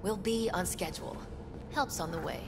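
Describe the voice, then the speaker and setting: An actress playing a businesslike female studio producer in a video game, brisk and managerial. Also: on the low side, lively intonation, creaky. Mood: brisk, impatient. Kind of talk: video game dialogue acting. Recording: loud music behind the voice.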